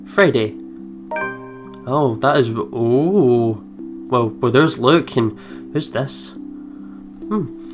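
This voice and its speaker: A chatty, reactive game player giving casual commentary and reading game text aloud. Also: mid-range voice. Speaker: let's play host. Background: soft game music.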